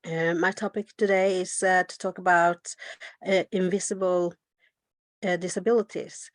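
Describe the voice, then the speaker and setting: A woman in her thirties, from Colombia, introducing herself on a panel with halting, filler-filled speech. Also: on the low side, fairly even intonation, dry recording, studio quality. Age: 30s.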